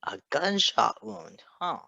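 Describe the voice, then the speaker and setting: A man's voice: sits high, very animated, takes it slowly, vocal fry, pushed and bright, no room sound, lo-fi sound, voice pushed up, heavily acted. Man voicing a sassy, flippant young nurse, dry close sound. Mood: wry. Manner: drawling, teasing, breezy. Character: sassy, flippant, slangy male nurse in his thirties. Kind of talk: audiobook-style character reading.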